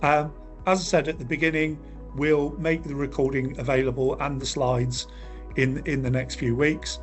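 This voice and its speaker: A man hosting an online seminar, friendly and brisk as he thanks speakers and closes the session. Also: medium pitch, little pitch movement, faint music behind. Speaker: webinar host. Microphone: video call.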